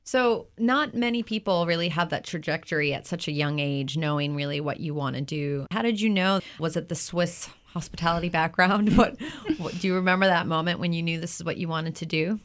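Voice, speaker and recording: Lower-pitched woman hosting an interview. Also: brisk, hardly any pauses. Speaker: show host interviewing a guest. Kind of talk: scripted introduction and conversational interview.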